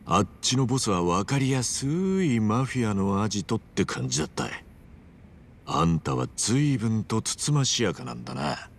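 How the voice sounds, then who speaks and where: An actor playing a brash, hot-blooded middle-aged brawler hero in a video game, talking in blunt, rough street speech. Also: male voice, lowish voice, big pitch swings, normal speed, a little hiss or hum. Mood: blunt, defiant. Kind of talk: video game cutscene acting.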